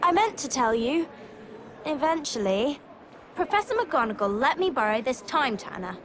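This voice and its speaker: A woman's voice: sits high, big pitch swings, normal speed. A woman voicing an earnest, clever teenage schoolgirl witch in a video game cutscene, urgent and eager to explain. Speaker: voice actor.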